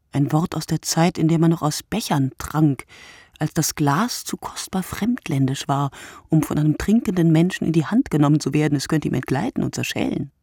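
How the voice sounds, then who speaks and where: Radio play narrator, husky and lowish, musing in deliberate poetic fragments, very dry sound. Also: female voice, darkish tone, no room sound. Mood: musing, wry. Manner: deliberate, fragmented phrasing, dwelling on words. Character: reflective woman narrator musing poetically on night-time drinkers. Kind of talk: literary radio play monologue.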